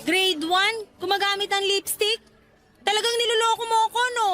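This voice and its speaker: A woman's voice: very high-pitched, fairly even intonation, moderate pace, bright, forceful tone, faint music behind. A woman acting an emotive, principled young Filipina in a radio drama, testy but easily delighted. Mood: indignant.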